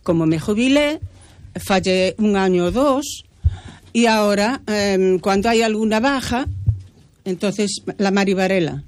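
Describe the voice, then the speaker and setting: A woman's voice: medium pitch, unhurried, darkish tone, slight background noise. A woman in her eighties from an amateur theatre group, chatting cheerfully and repeating her words. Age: 80s. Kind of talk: casual interview answers.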